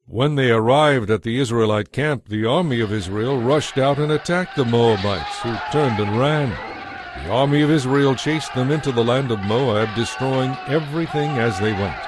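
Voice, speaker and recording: A man narrating a dramatized audio Bible in a measured storytelling manner. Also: mid-range voice, noisy background.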